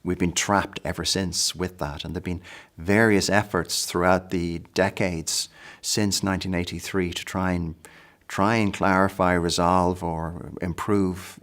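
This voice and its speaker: Irish guy talking slow and careful w fillers, deep flat voice. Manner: measured, with fillers and restarts. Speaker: interviewee. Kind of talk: documentary interview.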